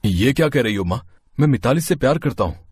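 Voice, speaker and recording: A man's voice: mid-range voice, animated, fairly quick, darkish tone, slightly rough, dry recording. A man playing an irritated, protective young husband and elder brother, firm and admonishing, in a family story. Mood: protesting.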